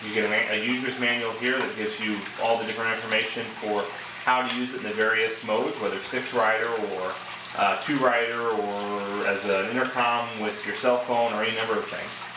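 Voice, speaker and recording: A man's voice: fairly low pitch, steady tone, fairly quick. Echoey, distant man reviewing a product. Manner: explanatory, step-by-step, conversational. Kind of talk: casual product demonstration and review. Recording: echoey, distant mic, clear background noise.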